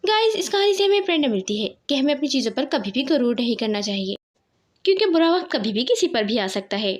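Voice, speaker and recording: High-pitched woman narrating a children's story. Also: big pitch swings, fast talker.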